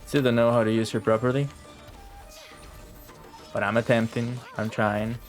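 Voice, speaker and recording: A young man streams a video game in a medium-pitched voice. He chats casually in slang and mutters asides to the game, with faint music underneath. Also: level tone, normal speed. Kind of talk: casual live gameplay commentary.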